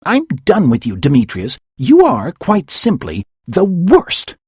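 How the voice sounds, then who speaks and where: A man voicing a frightened, fierce young woman with a breathy voice and high, shrill outcries. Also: huge pitch swings, unhurried, no room sound, clean studio sound, exaggerated voice. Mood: furious. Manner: high, shrill cries of alarm and accusation.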